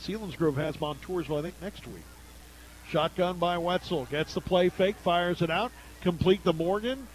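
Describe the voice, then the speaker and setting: A man's voice: high voice, moderate pace, a little hiss or hum, low-quality recording. An American man doing live radio play-by-play commentary of a football game. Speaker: play-by-play announcer. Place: at a high school football game.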